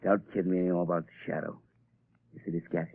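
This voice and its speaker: A man's voice: low voice, little pitch movement, fast talker, breathy edge. An actor playing a sneering gangster in a 1930s radio drama, talking tough-guy slang, on a tinny old broadcast recording. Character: gruff male gangster, menacing, sneering.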